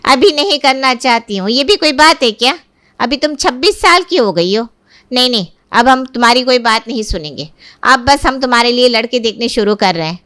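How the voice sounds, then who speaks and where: A woman's voice: fairly high pitch, expressive, a little fast, a bit bright, voice pushed up. A woman voicing an insistent, nagging, worried middle-aged mother in a cartoon family story. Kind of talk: cartoon moral-story dialogue.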